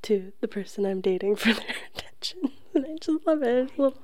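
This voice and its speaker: A woman co-hosting a casual podcast in a soft, whispery ASMR voice, playful and conversational, close on the mic. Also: a little high, lively intonation, a little fast. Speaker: podcast co-host.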